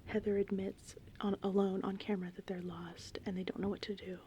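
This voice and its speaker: A woman speaking in a breathy, hushed whisper as an ASMR host, rambling informally through a film recap. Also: medium pitch, level tone, a little fast. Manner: informal, rambling, conversational retelling. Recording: faint background noise, rain and thunder ambience. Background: gentle rain and thunderstorm ambience.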